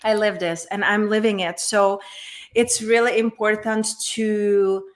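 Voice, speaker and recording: A woman's voice: medium pitch, steady tone, a little slow. A woman from the Czech Republic with a Czech accent, a guest coach sharing her personal story on a talk show in an earnest, reflective way.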